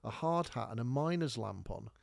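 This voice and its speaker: A man's voice: mid-range voice, animated, normal speed, vocal fry, no echo. A man from North Wales, telling a rambling, casual story as a podcast host while pointing things out on maps. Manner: chatty, rambling, with many fillers and restarts.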